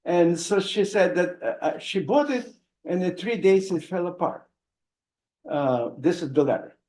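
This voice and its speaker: An elderly man with a Russian accent tells stories from his past, his voice sitting somewhat high for a man. He stops and restarts often, with chuckles between phrases. The sound carries a roomy hall echo. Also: lively intonation, a little mellow. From Odessa, Ukraine. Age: 80s. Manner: halting, with frequent restarts and chuckles. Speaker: author giving a book talk. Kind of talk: conversational anecdotal storytelling.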